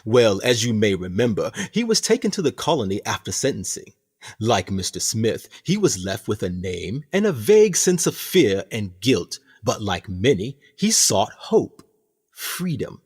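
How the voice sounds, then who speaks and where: An actor playing a smug, menacing ship captain in a radio play, theatrical, deliberate and mock-courteous. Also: male voice, on the low side, husky, exaggerated voice. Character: sinister male ship captain, smug, menacing warden of punishment.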